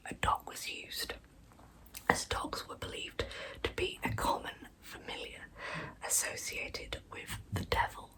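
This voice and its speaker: A woman narrating true crime as ASMR in a soft, breathy whisper, reading in a measured way with wry, informal asides. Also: rough recording. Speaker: ASMR storyteller and channel host.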